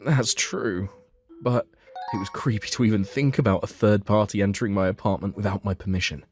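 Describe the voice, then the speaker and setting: A man's voice: lowish voice, fairly even intonation, fairly quick, faint music behind, natural voice. A man playing an earnest, anxious young office worker who narrates his own story in a manga dub. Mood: uneasy, bewildered.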